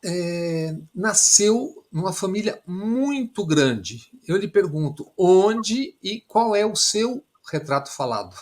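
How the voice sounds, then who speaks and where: A man hosting an interview, giving formal, measured introductions and asking questions. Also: high voice, very animated. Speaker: interview host.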